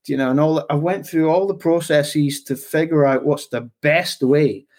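A man's voice: fairly high pitch, normal speed, darkish tone. A man with a Scottish accent who talks loosely in a casual conversation as the host, often asking "do you know what I mean".

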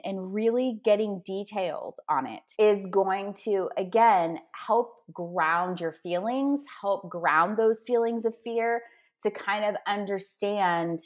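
Upbeat woman giving motivational advice. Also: lowish voice. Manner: energetic, motivational, breaks advice into steps. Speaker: guest business coach. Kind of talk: motivational podcast interview.

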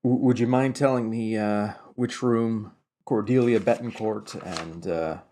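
Man playing an earnest, low-voiced investigator. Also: steady tone, measured pace, dark tone, a little hiss or hum, lightly acted. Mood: worried, pleading. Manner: stammering, polite, persuasive. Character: earnest, worried, pleading male investigator.

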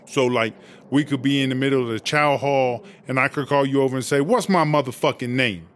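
A man's voice: medium pitch, a little fast, slight background noise, natural voice. A man voicing a brash, boastful female inmate boss in a comedy audio drama, telling rambling, slangy, profane stories. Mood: cocky.

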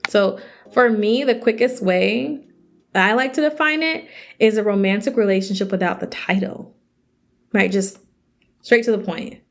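Chatty woman podcast host, slightly scratchy. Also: moderate pace. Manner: chatty, animated, quotes others in higher voices. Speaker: podcast host, self-worth coach. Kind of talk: casual solo podcast talk.

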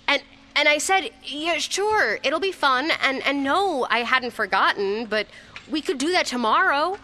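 A woman's voice: fairly high pitch, sharp tone, slight background noise. A young woman playing a flustered, grieving ex-girlfriend who stammers her way through a confessional memorial speech in an audio drama. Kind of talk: audio drama monologue, naturalistic.

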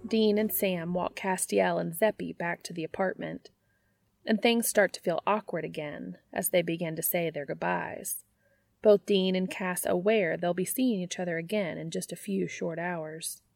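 A woman reading scripted fiction as an audiobook narrator, with expressive storytelling. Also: fairly low pitch, normal speed, gravelly, no echo.

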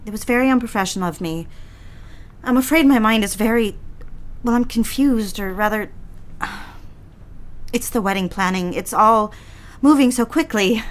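A woman playing an anxious, deferential young secretary in a period office drama, halting and flustered as she talks. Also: a little high, close to an everyday voice. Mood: apologetic, nervous. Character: anxious, eager young secretary, engaged to be married.

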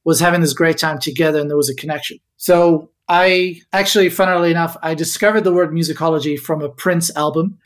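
A middle-aged man, born in the Netherlands and raised in Spain, telling his background as a guest on a podcast in a relaxed, reflective way. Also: fairly high pitch, fairly quick, hardly any pauses. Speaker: guest, musicologist. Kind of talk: podcast interview.

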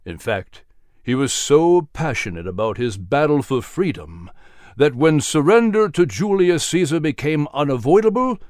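A man voicing a grave, wise mentor in a storybook drama, speaking solemnly and deliberately. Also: on the high side, animated, darkish tone, no room sound, clean studio sound, natural voice. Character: grave, wise male mentor guiding through history. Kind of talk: storybook character voice.

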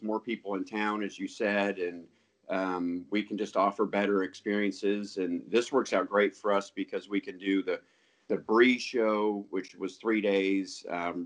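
A man with a fairly low voice answers questions as a podcast guest. He talks in a measured, hesitant way, full of ums and restarts. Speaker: guest, sales announcer. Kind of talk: casual podcast interview.

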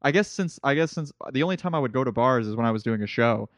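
A man's voice: medium pitch, lively intonation, fast, no echo. A man in his early twenties, a comedian guest telling rambling stories with lots of restarts in a casual podcast chat. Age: 20s. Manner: eager, rambling storyteller with many restarts.

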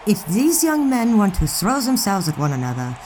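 A woman's voice: mid-range voice, very animated, moderate pace, darkish tone, lightly acted. An actress playing an opinionated young woman of the 1920s, arch, refined and playfully scolding in an audio drama. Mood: disdainful. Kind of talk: period audio drama acting. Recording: clearly noisy outdoor ambience behind.

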